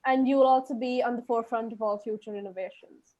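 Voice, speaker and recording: A woman's voice: a little high, steady tone, fast talker, warm tone, dull audio. A young woman from India, a student panelist, giving a webinar talk with many hesitant fillers. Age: young adult. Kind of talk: webinar presentation.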